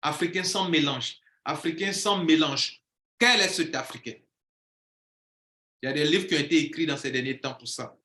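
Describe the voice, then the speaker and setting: A man's voice: a little high, normal speed, bright, forceful tone, reverberant. A man speaking as a coach in an impassioned monologue, declaiming and repeating phrases for emphasis.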